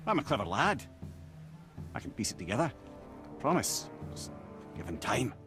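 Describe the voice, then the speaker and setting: An actor playing a talkative, witty, cocky captive sage in a fantasy game, speaking like a theatrical storyteller. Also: male voice, mid-range voice, sing-song, normal speed, fairly bright tone, soft background music. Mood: boastful, cheeky.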